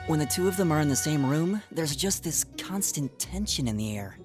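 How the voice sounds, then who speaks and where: The modest classmate chatting on the walk to school in a game cutscene, played by a man, lively intonation, music behind. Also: fairly high pitch, moderate pace, close to an everyday voice. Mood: friendly, concerned. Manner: casual, chatty. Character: friendly, earnest, modest teenage student boy. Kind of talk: video game voice acting.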